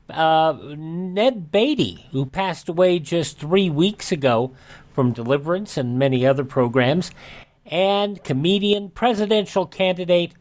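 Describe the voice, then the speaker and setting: A man's voice: high-pitched, darkish tone. A man hosting a radio show, reading history notes and anniversaries in a scripted announcer style. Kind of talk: this-day-in-history radio narration.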